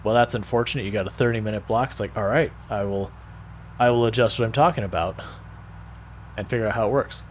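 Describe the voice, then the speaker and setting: A man with a soothing voice chatting casually with his stream viewers as a game streamer, relaxed and rambling, often restarting his sentences. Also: on the low side, quick pace, a little hiss or hum.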